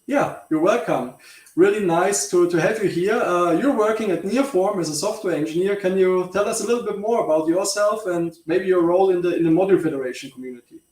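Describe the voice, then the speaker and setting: A man hosting an online meetup, introducing guests with quick, upbeat affirmations. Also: sits high, a little mellow, far from the mic. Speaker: meetup host. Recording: roomy sound from off the mic.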